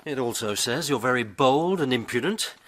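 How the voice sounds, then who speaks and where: An actor in a radio drama playing a sardonic, inquisitive, educated gentleman novelist and lawyer. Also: mid-range voice, lively intonation, normal speed. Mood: sardonic, probing.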